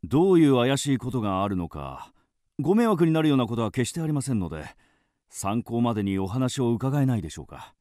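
An actor playing a courteous, guarded police detective in a radio drama, speaking formally and politely. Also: male voice, medium pitch, very animated, fairly quick, darkish tone, no echo, studio quality, close to an everyday voice. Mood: polite, probing.

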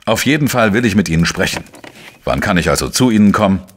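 A man playing a dogged, wry investigator in a crime radio play, speaking and narrating in a deliberate, reflective way. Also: lowish voice, expressive, slight background noise, close to an everyday voice. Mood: insistent, wry. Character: dogged, wry male journalist-sleuth narrating his own case. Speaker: lead actor and first-person narrator. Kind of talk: German crime audio drama, narration and dialogue.

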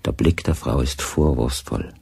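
A man narrating a crime radio play in a deliberate, literary storytelling manner. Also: very deep voice, gravelly, a little mellow, husky, no echo, heavily acted. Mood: measured, observant. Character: measured, observant male narrator of a crime story.

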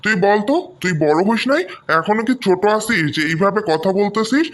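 A fairly high male voice with a Bangladeshi accent , acted by a man, plays an elder brother in a cartoon. He scolds and placates, waving disputes away. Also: expressive, brisk, a little hiss or hum. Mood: exasperated. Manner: chiding, brushing quarrels aside. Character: placating, dismissive elder brother, head of household. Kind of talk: amateur cartoon story dubbing.

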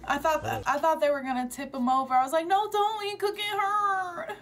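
A woman's voice: extremely high pitch, fairly even intonation, brisk, a bit bright, a little hiss or hum. A young woman hosting a reaction vlog, chatting casually and swearing freely with plenty of "like". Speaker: reaction video host. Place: small room in front of green screen.

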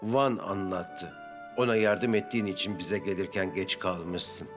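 A man's voice: low voice, steady tone, measured pace. A man voicing a firm but kindly garage chief in a children's cartoon dub, with music behind. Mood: apologetic, warm.

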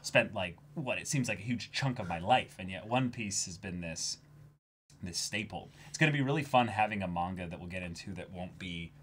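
A young man talking casually as co-host of a livestream, in a rambling, jokey, animated way. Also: deep voice, normal speed, slight background noise. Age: young adult. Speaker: co-host of a manga reading stream. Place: indoor room, seated before a backdrop.

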